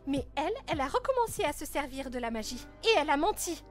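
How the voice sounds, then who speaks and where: Woman playing haughty teen princess, high voice, sassy and dramatic, spoiled and jealous, fan-dub narration, faint music behind. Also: big pitch swings, fast talker. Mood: gossipy, catty.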